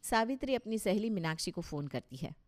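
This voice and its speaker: A woman's voice: medium pitch, big pitch swings, fairly quick, a little mellow. A woman reading scripted narration for a story as its narrator.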